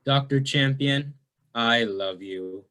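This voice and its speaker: A man's voice: medium pitch, slow, bright, forceful tone, clear, resonant tone. A man playing an earnest, dim, melodramatic space adventurer in a campy sci-fi radio serial. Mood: lovestruck.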